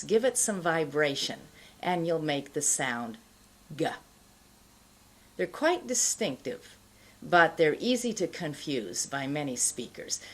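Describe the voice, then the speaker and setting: A middle-aged woman giving an instructional pronunciation lesson, explaining in a deliberate, carefully enunciated way. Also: lowish voice. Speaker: pronunciation teacher. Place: indoor studio, plain backdrop.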